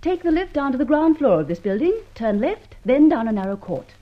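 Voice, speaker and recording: An arch, poised society woman from a vintage radio serial. Her fairly high, husky voice is lively, airy and mock-innocent, on a dull old recording. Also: fairly quick. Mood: cool, arch. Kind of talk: old-time radio drama acting.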